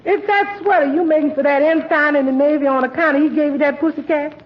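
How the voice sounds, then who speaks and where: An actress playing a good-humoured, folksy American housemaid who wisecracks with exasperated flair in 1940s radio comedy. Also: female voice, sits high, steady tone, fast talker, natural voice. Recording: muffled, very noisy radio, studio audience.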